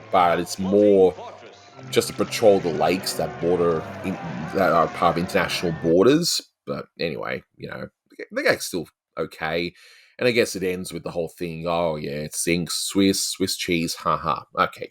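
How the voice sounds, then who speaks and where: A man with an Australian accent giving casual, rambling, chatty commentary as the host of a review show. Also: sits low. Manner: rambling, chatty, peppered with "you know".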